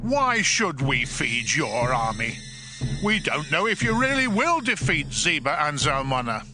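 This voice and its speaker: A man's voice: a little high, big pitch swings, measured pace, over music. A male actor playing a scornful, doubting town leader in a dramatized audio Bible, with a dismissive delivery. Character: scornful, doubting town elder of ancient times.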